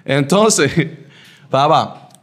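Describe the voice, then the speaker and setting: A man's voice: a little high, expressive, drawn-out words, fairly bright tone. A man hosting a casual interview over drinks, playful and teasing, repeating words and using lots of slang. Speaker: podcast host.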